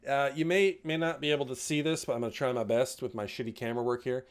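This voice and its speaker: A man's voice: mid-range voice, fast. Jokey man hosting a tech how-to. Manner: jokey, swears casually, lively bursts on tip headings. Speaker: tech video host. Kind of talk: casual instructional talk. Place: indoors with camera, showing parts in hand.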